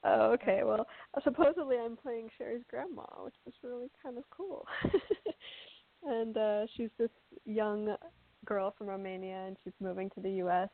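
A woman's voice: fairly high pitch, moderate pace, vocal fry. A woman chatting casually as a guest actress on a talk show. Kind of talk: casual chat. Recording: thin, phone-like line.

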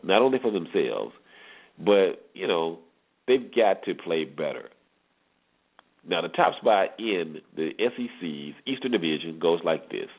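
Guy doing sports talk radio solo, real deep voice, chatty, repeats points, sounds like he's on a phone line. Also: husky. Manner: conversational, with rhetorical questions and repetition for emphasis. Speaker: sports talk show host. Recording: tinny phone-line internet radio audio.

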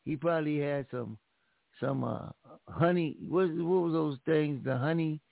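A man's voice: on the high side, a little slow, vocal fry, no room sound. A man hosting a casual radio chat, joking playfully and repeating his phrases for effect. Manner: playful, repeats phrases and old-fashioned mock exclamations. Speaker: radio show host. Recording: tinny phone-like line.

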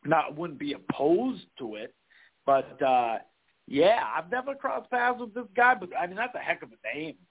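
A man's voice: fairly high pitch, big pitch swings, moderate pace. Sweaty showbiz consultant, a man doing the bit, rambling, self-important, tinny phone-like sound. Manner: rambling, hesitant, full of restarts and garbled sayings. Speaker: guest.

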